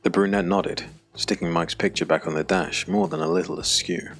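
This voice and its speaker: A man narrating a scripted dark fantasy audiobook with a deep, gravelly voice. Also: sing-song, normal speed, soft background music.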